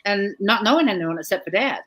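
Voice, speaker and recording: A woman's voice: medium pitch, expressive, fairly quick. Friendly woman host on a video call, chatty, says hi to everyone by name. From England. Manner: chatty and welcoming, greets viewers by name. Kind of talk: live chat interview.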